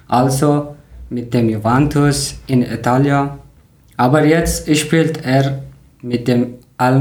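Mann aus Afghanistan als Podcast-Moderator, vorsichtiges Lerner-Deutsch. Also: male voice, medium pitch, a little mellow, roomy sound. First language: not German. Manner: careful, learner grammar, slightly halting. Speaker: podcast host. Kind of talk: reading prepared talk in learner German.

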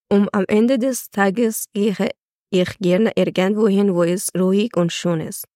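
Woman podcast host, mellow tone, dry sound, prepared talk. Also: medium pitch, dry recording.

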